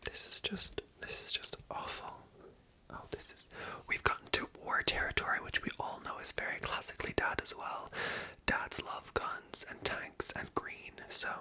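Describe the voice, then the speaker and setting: A woman whispering softly as she reads jokes aloud and adds wry, self-mocking asides, stumbling over words now and then. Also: normal speed. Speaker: ASMR creator. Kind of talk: whispered ASMR commentary.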